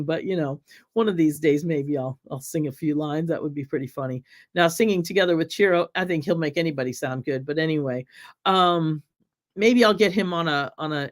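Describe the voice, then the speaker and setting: A middle-aged woman from the United States hosting a casual cooking live stream, chatting with viewers in a rambling, friendly way. Her voice is slightly raspy while she recovers from an illness. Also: deep voice. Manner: chatty, rambling, many ums, reads viewer comments aloud. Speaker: cooking show host.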